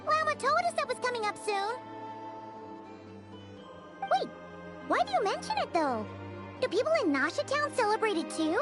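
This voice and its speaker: A tiny fairy companion from an animated game. The voice is extremely high and childlike, swooping up and down with chatty, perky excitement, over prominent music. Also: female voice, moderate pace, long pauses. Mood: curious. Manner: perky, chatty, refers to self in third person. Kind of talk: animated video game voice acting.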